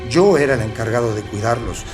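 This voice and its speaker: A man's voice: mid-range voice, animated, a little fast, music in the background, natural voice. An actor playing an earnest, self-justifying male suspect giving a plain confession in an audio docudrama. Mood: earnest, confessing.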